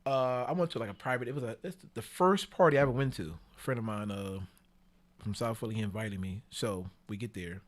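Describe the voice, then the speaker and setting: A man telling bawdy stories casually as a podcast guest, slangy and animated, acting out what people said. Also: fairly low pitch, a little fast. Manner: animated, slangy, with acted-out quotes.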